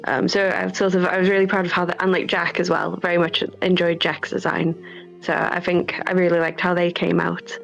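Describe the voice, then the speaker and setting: A woman talks informally about her creative work in an interview, working out her answers aloud. Her voice sits a little low with plenty of vocal fry. The sound is a bit dull, with soft music underneath. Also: flat delivery, fairly quick. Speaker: game developer.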